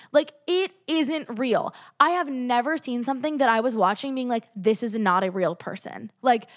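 A woman's voice: high-pitched, a bit bright. A young woman hosting a casual solo podcast, stuffy from a cold, talking in a chatty, gushing way. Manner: chatty and gushing, heavy use of "like". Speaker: podcast host.

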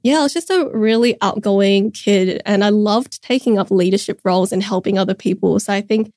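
A woman's voice: medium pitch, a little fast, a little mellow, dry recording, studio quality. A young woman chatting upbeat as a podcast guest, with many casual fillers. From Australia. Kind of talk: casual interview chat.

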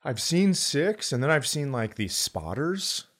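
A middle-aged man chatting casually as a comedy podcast host, telling jokey stories in a deadpan manner. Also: on the high side, a little slow.

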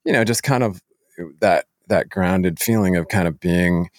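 A man's voice: low voice, unhurried, dry recording, studio quality. A man hosting a podcast interview, conversational and admiring of his guest.

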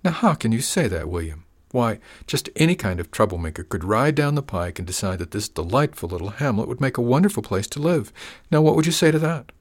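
A man's voice: mid-range voice, expressive, fairly quick, lightly acted. A man doing Dandy Andy, smarmy menacing gang boss. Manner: smarmy, mock-wounded, drawling. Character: oily menacing male gang boss, falsely friendly.

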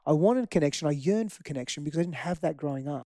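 A man's voice: on the high side, lively intonation, fast, dark tone, dry recording, studio quality. A middle-aged man from Greece, whose first language is Greek, speaking candidly and earnestly about his past as an interview guest. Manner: reflective, earnest, frequent restarts. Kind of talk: candid personal storytelling.

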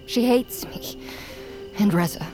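A woman's voice: mid-range voice, slow pace, natural voice. Woman acting a brooding, proud young warrior woman, music behind. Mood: uneasy. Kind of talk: scripted audio drama acting. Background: music under the dialogue.

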